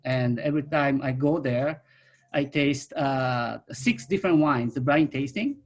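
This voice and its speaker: A middle-aged man from Japan with a Japanese accent, speaking non-native English haltingly in an informal talk about himself on a video call. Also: mid-range voice. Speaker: winemaker, guest speaker.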